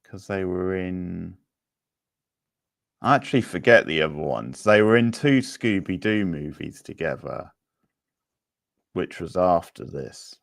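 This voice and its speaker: Deep-voiced man hosting a casual quiz. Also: animated, unhurried, gravelly, pauses often, dry recording, studio quality. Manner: chatty, rambling, thinking aloud. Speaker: quiz host.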